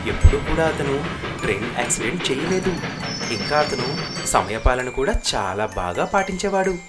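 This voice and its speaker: A woman narrates a scripted moral tale. Her voice is low for a woman, with very lively intonation. Music is clearly present behind her. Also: moderate pace.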